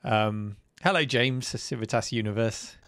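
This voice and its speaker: A man's voice: medium pitch, unhurried, fairly bright tone, no room sound. A man hosting a live stream, chatting and reacting to viewers' videos. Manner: chatty, enthusiastic. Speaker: host.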